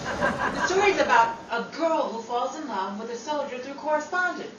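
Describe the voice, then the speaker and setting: Actress as an eager, animated 1940s starlet, echoey. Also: female voice, high voice, moderate pace, far from the mic. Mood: eager, dreamy. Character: eager, starry-eyed aspiring actress. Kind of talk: 1940s-style radio comedy acting. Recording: noisy, dull, echoey room from afar.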